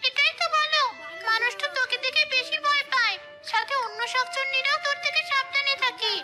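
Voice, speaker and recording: A woman voicing a loyal, reassuring female ghost friend in a cartoon, speaking briskly. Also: extremely high pitch, music in the background, pitched above the performer's own voice. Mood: chirpy, curious. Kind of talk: cartoon voice acting.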